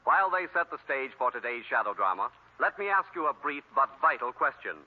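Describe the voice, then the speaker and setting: A man's voice: medium pitch, very animated, fairly quick, over loud music. A man working as a radio announcer, reading a script in a formal, emphatic 1940s style. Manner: formal, emphatic sales delivery. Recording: tinny 1940s radio recording.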